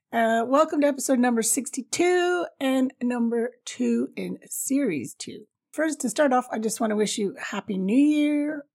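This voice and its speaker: Raspy, creaky-voiced woman podcaster. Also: on the high side, a little mellow. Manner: informal, upbeat, jokey. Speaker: travel podcast host. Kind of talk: chatty solo podcast storytelling.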